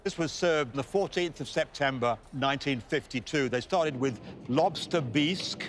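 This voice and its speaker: A man's voice: mid-range voice, moderate pace. An older man recounting and reading aloud in a busy departure lounge. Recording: clear noise of public hall.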